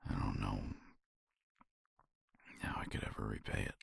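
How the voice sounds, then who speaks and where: A man speaking softly and reflectively in a romantic ASMR roleplay, sighing often and sometimes dropping to a whisper, close to the mic. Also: moderate pace, no room sound. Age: older. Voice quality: soft, intimate, drifts into whispers.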